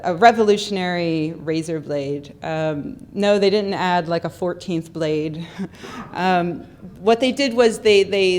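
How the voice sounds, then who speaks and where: A woman's voice: fairly low pitch, a little mellow. A young adult woman giving a humorous, sarcastic talk into a microphone on a lecture hall stage, with plenty of ums and repeated words. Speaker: public speaker. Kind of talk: humorous skeptical conference talk. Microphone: handheld or stand microphone.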